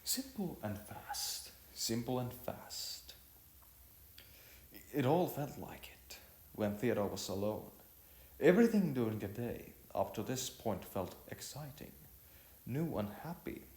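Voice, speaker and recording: Echoey, halting male story narrator, expressive pitch. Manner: halting delivery, often repeating and correcting words. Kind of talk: amateur story narration, read aloud.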